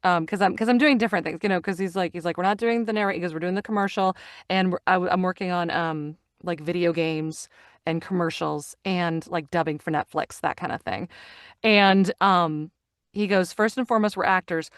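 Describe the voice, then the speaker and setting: A woman's voice: fairly low pitch, fairly even intonation, fairly quick, dry recording, clean studio sound. A middle-aged woman talking casually as an interview guest, telling stories and acting out the lines she quotes. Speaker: guest, actor and audiobook narrator.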